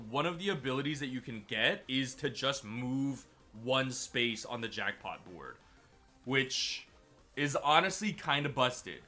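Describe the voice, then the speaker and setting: A man streaming a game, chatty and excitable, sounding a bit stuffy from a cold. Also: medium pitch. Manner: chatty, excitable over wins. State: stuffy, slightly sick. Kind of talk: gameplay commentary.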